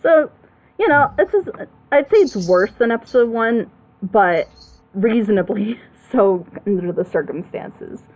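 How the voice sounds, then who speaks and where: A woman's voice: a little high, expressive, unhurried, darkish tone, low-quality recording. A woman hosting a casual reaction show, giving opinions in an emphatic, rambling way. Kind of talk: casual chat, opinionated commentary.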